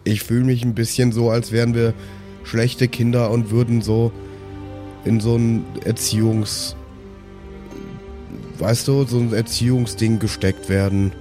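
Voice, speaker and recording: A man's voice: mid-range voice, flat delivery, a little slow, long pauses. A man playing a tabletop role-play game in casual, improvised in-character chat. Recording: background music under the voice.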